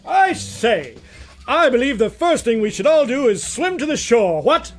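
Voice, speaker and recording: A man's voice: very high voice, sing-song, measured pace, over music, exaggerated voice. A man voicing a pompous, blustering old bird with a fussy way of talking. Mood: bluff.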